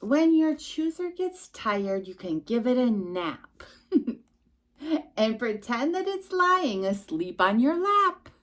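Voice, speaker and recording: A woman reading a rhyming picture book aloud to a young child as a storytime host, expressive and emphatic, with little asides to the listener. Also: fairly high pitch.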